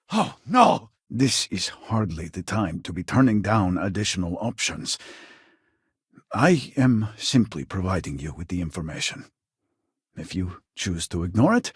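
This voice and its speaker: A man's voice: lowish voice, husky, no echo, clean studio sound. An actor playing an elderly, proud and impatient male scientist in a video game, speaking in a formal, theatrical way. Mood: panicked. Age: older.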